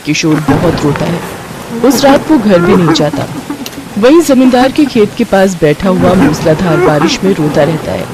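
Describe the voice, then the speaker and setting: A woman's voice: fairly low pitch, rough recording. A woman narrator reading a scripted moral story for children.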